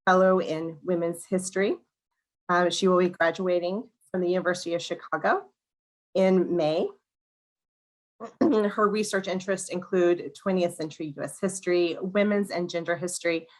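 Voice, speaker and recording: A woman with a slightly crackly, hoarse voice hosting an online museum program, reading a welcome and introducing a guest, often clearing her throat and restarting words. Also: fairly low pitch. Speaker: museum education director hosting a webinar. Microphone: video call.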